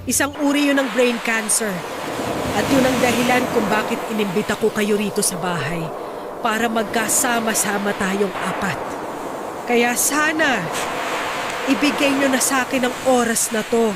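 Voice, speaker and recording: An actress playing a gentle, patient middle-aged mother of grown children in a Tagalog radio drama, calm and measured. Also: a little high, very noisy, lightly acted. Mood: grave, pleading. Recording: loud music under the dialogue.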